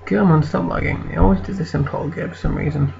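A man from Britain with a croaky voice from an infection, hosting a let's play in casual, rambling commentary. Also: a little high, fairly even intonation, fast, darkish tone, highs cut off, a little hiss or hum. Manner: rambling, self-correcting.

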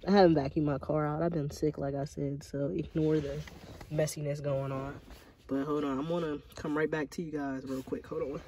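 Young adult American woman, casual DIY vlogger, informal think-aloud delivery, clearly noisy handheld phone sound. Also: low voice, level tone, moderate pace, dark tone. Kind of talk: casual DIY chat to camera. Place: in and beside a parked car.

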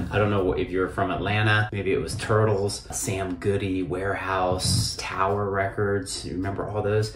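An American man in his fifties chatting casually and nostalgically to camera in a rambling, conversational way, recorded in a home office. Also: sits low. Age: 50s. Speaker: vlogger. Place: small home office, indoors. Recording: echoey room, distant mic, noisy.

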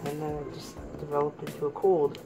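A woman's voice: sits low, level tone, brisk, over music, poor audio. A woman in her thirties, losing her voice from a cold, chatting casually to the camera while she cooks in a small home kitchen. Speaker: vlogger. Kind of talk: casual home cooking vlog. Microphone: camera on the counter.

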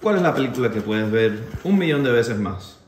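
Man off-camera posing quick personal questions, casual, in a reverberant kitchen with slight noise. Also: medium pitch, expressive. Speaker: interviewer reading questions. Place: home kitchen. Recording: echoey home kitchen, faint noise.

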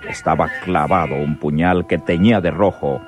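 A man's voice: low-pitched, normal speed, lightly acted. A man narrating a radionovela in a solemn, florid, theatrical style. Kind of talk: dramatic scripted radionovela narration. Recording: faint music behind.

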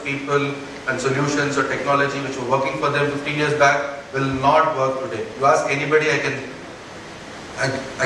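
A man in his forties with an Indian English accent, giving an earnest conference keynote on a large stage and often checking in with the audience with a quick "right?". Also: medium pitch, bright, forceful tone, slight background noise. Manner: earnest, rhetorical questions, frequent "right?" tags. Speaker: keynote speaker. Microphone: headset mic. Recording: echoey hall, heard from far off.

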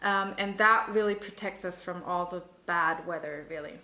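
A woman in her thirties being interviewed as a winemaker, explaining informally and pausing to think as she goes. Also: fairly low pitch, sharp tone. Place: indoor tasting room with wooden table. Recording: roomy, echoing indoor sound.

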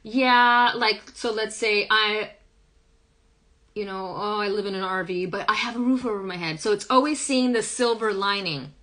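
A woman in her thirties with a hoarse, croaky voice, chatting casually through a live-stream reading in a small wood-paneled room, recorded on a phone. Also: mid-range voice, moderate pace, a bit bright. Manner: chatty, rambling, hums song lyrics. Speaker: spiritual reader. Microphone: phone camera at arm's length.

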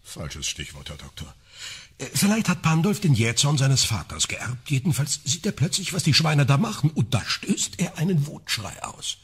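Very husky, sardonic clergyman. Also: a little high, sing-song, moderate pace, full character voice. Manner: dry, teasing, probing. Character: needling, sardonic clergyman amateur sleuth. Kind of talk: German radio crime drama acting.

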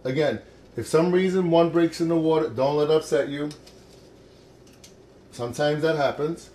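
A man's voice: fairly high pitch, fairly even intonation, moderate pace, darkish tone. A middle-aged man hosting a cooking show in a home kitchen, chatty and joking as he demonstrates a recipe.

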